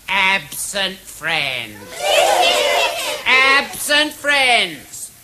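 An actor playing a smug British weasel chief in a 1970s radio play, giving pompous, mock-mournful oratory. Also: male voice, sits high, background noise. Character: smug male weasel chief making a mock-solemn toast. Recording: 1970s radio broadcast with music.

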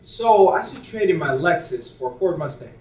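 A man's voice: on the high side, lively intonation, moderate pace, a little mellow, natural voice. A young man on a small theater stage playing a shallow, smitten young man full of doubts, talking in an eager, earnest way. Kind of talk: live stage acting. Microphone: far room mic. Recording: roomy theater sound from far off.

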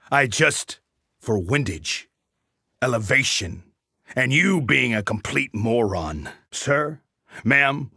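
A cocky crossbow assassin from a video game, dry and sardonic. His delivery is unhurried and swings widely in pitch, with a bright, forceful edge. He boasts in short deadpan quips. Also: no room sound, heavily acted. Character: cocky, wry male Alaskan crossbow assassin mercenary.

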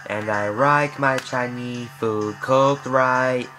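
A young man in his late teens sings along at an unhurried pace to a comic song, chanting its repeated lines in a casual, amateur way. He records himself on a laptop webcam in a small bedroom. A loud backing track plays under his voice. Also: mid-range voice, steady tone. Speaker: amateur singer. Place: small bedroom with bright yellow walls. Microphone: laptop webcam at close range. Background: loud backing music.

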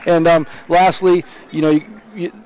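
A man with a Maine accent interviewing a coach after a game, asking questions in a halting way full of fillers. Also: on the high side, level tone, a little mellow, rough recording. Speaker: sports interviewer. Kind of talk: postgame interview.

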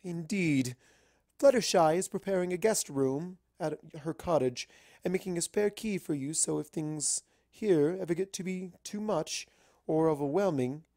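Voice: mid-range voice, dark tone, dry recording, studio quality, pitched above the performer's own voice, lightly acted. A narrator voicing a refined, bossy but caring female unicorn pony with formal, genteel phrasing.

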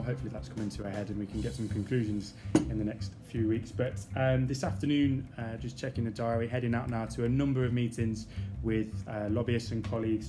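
A man in his thirties, a member of parliament, talking casually to a phone camera held at arm's length as he moves between offices and corridors, with a lot of ums and uhs. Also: medium pitch. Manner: chatty, many fillers, talks while walking. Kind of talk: casual vlog narration. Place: offices and corridors, outdoors at night.

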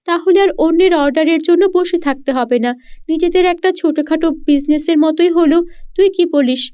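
A woman's voice: very high-pitched, brisk. A woman voicing an earnest, hopeful poor village girl in a children's cartoon story. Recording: dull lo-fi sound.

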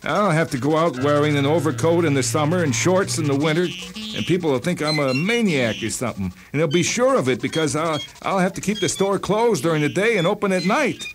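Man acting a high-pitched, indignant aggrieved patient. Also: lively intonation, brisk, music in the background, lightly acted, rough recording. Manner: whiny, indignant, complaining. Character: irate, aggrieved male patient, a shopkeeper. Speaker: actor voicing a character. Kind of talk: radio drama acting.

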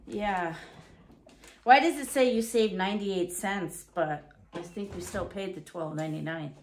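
A middle-aged woman from Canada chatting casually through her grocery haul in her home kitchen, reading prices aloud and thinking out loud. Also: medium pitch, measured pace, a little hiss or hum. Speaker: vlogger. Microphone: fixed camera at table.

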